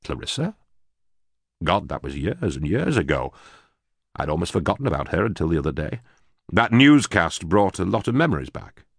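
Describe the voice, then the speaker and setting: A man's voice: deep voice, very animated, normal speed, gravelly, pauses often, husky, dry recording, studio quality, heavily acted. A man voicing a frightened, bitter former official in an audiobook, pleading and stammering nervously. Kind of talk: audiobook character voice.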